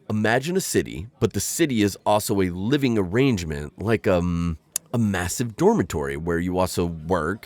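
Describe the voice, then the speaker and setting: A man's voice: deep voice, no room sound, heavily acted. A man voicing a formal, awkward insectoid alien bounty hunter in an ASMR roleplay, talking in a hesitant, stammering way.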